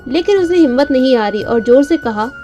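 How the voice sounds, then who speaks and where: A woman's voice: high-pitched, lively intonation, fairly quick, warm tone. A woman narrating a scripted children's bedtime story as the storyteller. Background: music.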